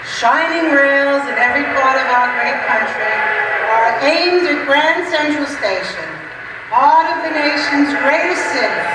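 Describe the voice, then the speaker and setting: A woman playing a grand, declamatory announcer of a 1940s radio drama. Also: sits high. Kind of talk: scripted 1940s radio narration. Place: amateur stage show in a large echoey room. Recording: very echoey hall, far mic, loud noise.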